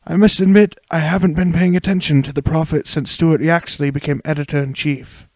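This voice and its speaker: A man voicing a kindly elderly wizard headmaster, calm, polite and genial, in a story reading. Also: on the high side, brisk, no room sound, voice pushed up, lightly acted. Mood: courteous.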